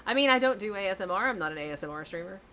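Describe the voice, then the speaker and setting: A woman's voice: medium pitch, expressive, rapid-fire, fairly bright tone, a little hiss or hum. A woman streamer chatting casually and bluntly while playing a courtroom game, cursing now and then and reading lines aloud. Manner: blunt, swears casually, talks back to the game. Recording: game music playing behind. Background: video game music.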